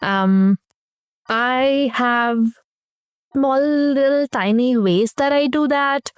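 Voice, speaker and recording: A woman's voice: sits high, very slow, clear, resonant tone, dry recording. Indian-accented woman, dry close sound. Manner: thoughtful, hesitant with frequent fillers. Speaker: guest, communication professor. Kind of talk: casual interview conversation.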